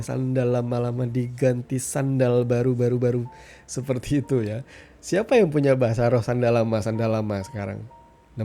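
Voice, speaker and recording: A man giving an informal sermon as a devotional podcast host, explaining conversationally with frequent check-in tags and pauses for emphasis. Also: medium pitch, mellow. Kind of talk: informal sermon, Bible reflection.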